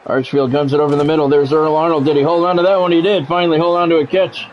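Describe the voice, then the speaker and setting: A man streaming a football video game, giving excitable play-by-play and bluntly talking at the players in short, urgent, repeated phrases. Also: a little high, fast talker, lo-fi sound, a little hiss or hum. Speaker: gaming streamer doing play-by-play.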